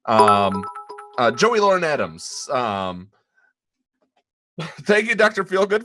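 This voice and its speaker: A man co-hosting a podcast in casual live-stream chat, halting, with many ums and restarts. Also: fairly high pitch, sharp tone. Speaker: podcast co-host.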